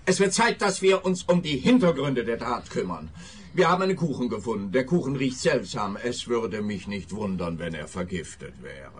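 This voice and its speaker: A man's voice: medium pitch, very animated, normal speed, close to an everyday voice. An actor playing a vain, officious policeman in a comic radio play, speaking with pompous emphasis. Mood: officious, curt. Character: pompous, vain male policeman keen on headlines.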